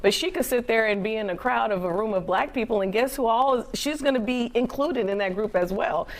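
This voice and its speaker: A woman's voice: a little high, brisk. A woman on a debate panel, explaining her view firmly.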